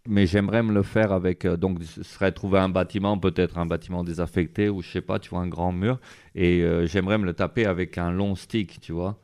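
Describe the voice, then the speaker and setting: A man's voice: deep voice, fairly even intonation, brisk, a little mellow, smooth. A man being interviewed as a street artist, talking casually and rambling with many fillers. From France.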